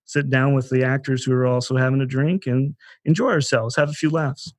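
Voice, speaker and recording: A man's voice: medium pitch, fairly even intonation, fairly quick, dry recording, clean studio sound. A man co-hosting a podcast, talking conversationally in an earnest, explanatory way. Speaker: podcast co-host. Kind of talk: conversational podcast talk about a theater project.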